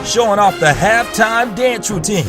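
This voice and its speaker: A man's voice: high-pitched, expressive, unhurried. A man reading a scripted promotional voice-over in a showy announcer manner. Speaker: promo narrator. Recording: voice-over over loud music.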